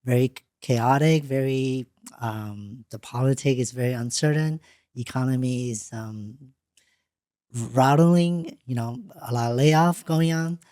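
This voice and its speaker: A woman, not a native English speaker, hosting an interview in a studio, talking in a halting way with frequent restarts. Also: very deep voice, dark tone, dry recording. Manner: halting, restarts often, plain unpolished English. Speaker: podcast host. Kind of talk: casual interview.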